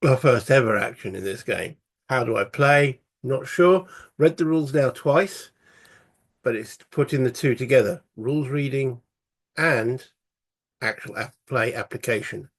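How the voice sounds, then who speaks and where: A man, croaky from a cold, casually talking through a solo board game and thinking aloud with many fillers. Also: medium pitch, lively intonation, normal speed. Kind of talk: casual tabletop playthrough commentary.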